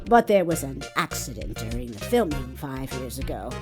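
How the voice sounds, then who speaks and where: A brash older security lady scolds and gossips in folksy, old-fashioned talk. Her pitch swings widely. Loud music plays behind this game dialogue. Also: big pitch swings, moderate pace. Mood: wistful, gossipy. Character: brash, nagging older female studio security guard. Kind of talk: voiced dialogue for a video game fan dub.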